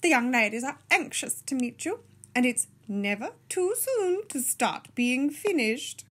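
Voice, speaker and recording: A woman voicing a prim, gushing gray-haired headmistress with a breathy, affected, sugary manner. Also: high-pitched, expressive, unhurried, heavily acted. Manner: over-polite, sugary.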